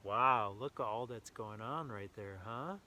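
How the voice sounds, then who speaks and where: A man reading a children's story aloud with animated enthusiasm, adding casual asides to young listeners. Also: fairly low pitch, measured pace.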